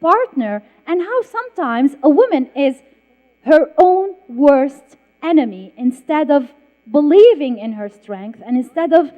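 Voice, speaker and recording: A woman's voice: high-pitched, very animated, unhurried. A woman, an author telling a story on a festival panel with emphatic restarts. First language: Arabic. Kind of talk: public panel talk with audience. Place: festival hall stage. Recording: dull, echoey hall sound.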